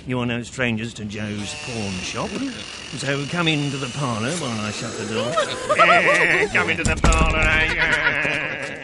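An actor playing a shady, haggling old pawnshop keeper with a wheedling, muttering manner in a radio drama. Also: male voice, fairly high pitch, wildly swooping pitch, slow pace, heavy background noise, exaggerated voice. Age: older. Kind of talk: family radio drama acting.

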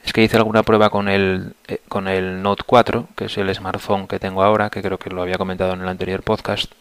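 Hoarse, gravelly low-voiced man. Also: fairly quick, dry recording. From Asturias, Spain. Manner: relaxed, rambling, many fillers and restarts. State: hoarse from a flu. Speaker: podcast host. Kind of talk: casual solo podcast monologue. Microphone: headset mic on a computer.

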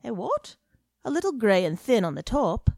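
Lady putting on a loud bragging newspaperman, hearty and pushy, dry sound. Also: on the high side, big pitch swings, moderate pace, gravelly, dry recording, pitched above the performer's own voice, exaggerated voice. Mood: boisterous. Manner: brash, swaggering, jovial. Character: boastful hearty male journalist, loud and worldly. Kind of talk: audiobook character reading.

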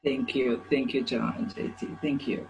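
A woman in her forties from Croatia, an author answering interview questions in a thoughtful, halting way. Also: rumbling low, steady tone, low-quality recording. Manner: thoughtful, halting, searching for words. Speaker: children's author, guest.

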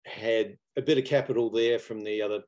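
A middle-aged man with a New Zealand accent and a slightly low voice. He talks casually as an interview guest, laid-back and self-deprecating, with halting phrasing. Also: steady tone, darkish tone. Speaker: interview guest, property investor student. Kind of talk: casual anecdotal chat. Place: live video call online.

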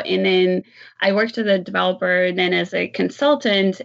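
A woman's voice: mid-range voice, vocal fry, a bit bright, muffled recording. A woman who grew up in Ukraine and Israel, speaking as a guest in a podcast interview in a fluent, upbeat, matter-of-fact way. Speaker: guest, cloud engineer.

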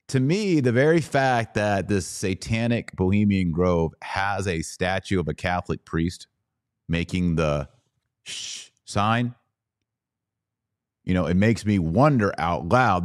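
A man hosting a podcast, asking questions and thinking out loud. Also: low voice, dry recording, clean studio sound. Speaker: podcast host. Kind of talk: casual podcast conversation.

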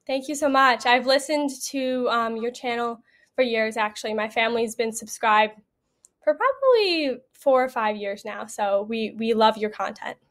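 A woman's voice: a little high, normal speed. A young American woman, a podcast guest giving a casual, chatty first-hand account. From United States. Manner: chatty, conversational.

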